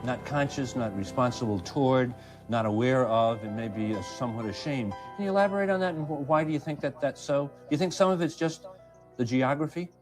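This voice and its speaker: A man interviewing a guest in an archival clip, asking measured questions with hesitant pauses. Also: mid-range voice, music in the background.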